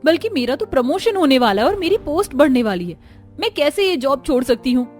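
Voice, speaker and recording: Woman acting as young cartoon daughter-in-law, headstrong, curt, insistent, high voice, faint music. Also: very animated, fairly quick, darkish tone. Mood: insistent, hurried. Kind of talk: Hindi cartoon family story acting. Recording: music behind the voice.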